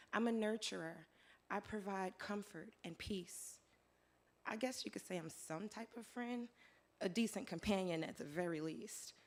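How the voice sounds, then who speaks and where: A hoarse woman performing her spoken word poetry live, in a rhythmic rhyming delivery that swings between soft lines and loud declarations. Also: mid-range voice. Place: live venue with audience.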